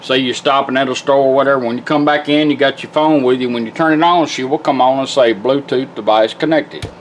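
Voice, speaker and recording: A man's voice: medium pitch, steady tone, brisk, slight background noise, poor audio. A man giving an informal, plain-spoken, hands-on product demonstration. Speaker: product demonstrator, car radio builder. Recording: loud music behind voice. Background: car radio playing music.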